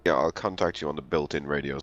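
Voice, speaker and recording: A man playing a tabletop roleplaying game, talking casually and a little haltingly. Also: rumbling low, a bit bright, muffled recording. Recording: voice chat with faint noise.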